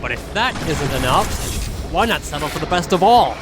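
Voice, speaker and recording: A man's voice: fairly high pitch, animated, fairly quick, loud music behind the voice. A man hosting a gaming video with playful, joking commentary and a theatrical streak.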